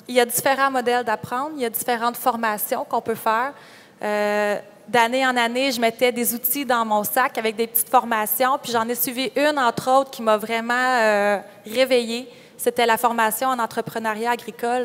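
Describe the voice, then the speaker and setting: A woman's voice: on the high side, clean tone. A young woman with a Quebec French accent giving a candid, informal personal account as a conference panelist. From Quebec, Canada. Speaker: panelist, farm entrepreneur. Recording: reverberant hall sound.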